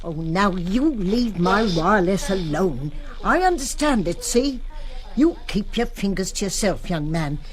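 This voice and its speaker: Woman acting a bossy old nanny, very animated. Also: mid-range voice, measured pace, natural voice. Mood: scolding, flustered. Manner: scolding, sententious. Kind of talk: radio drama character acting.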